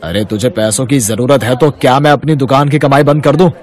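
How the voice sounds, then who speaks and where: A man's voice: medium pitch, fairly even intonation, fairly quick, some chatter behind, lightly acted. A man acting a brusque, bossy shopkeeper. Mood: scolding. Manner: brusque, scolding. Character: bossy, hard-hearted male shopkeeper shooing away poor vendors. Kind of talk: Hindi moral-story cartoon dubbing.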